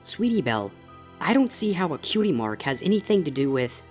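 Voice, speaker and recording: A woman's voice: low voice, very animated, brisk, darkish tone. A woman voicing a stern yet concerned schoolteacher pony in a dramatized story reading, questioning and admonishing. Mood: shocked disbelief. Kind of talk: dramatized fan fiction audio reading. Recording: music under the voice.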